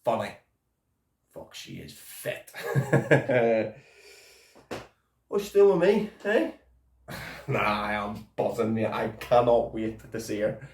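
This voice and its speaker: A man's voice: medium pitch, takes it slowly, pauses often, darkish tone, roomy sound, far from the mic, close to an everyday voice. An actor playing a cocky young male prisoner who swears, laughs and pleads. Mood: cocky, excited. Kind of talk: dramatic monologue.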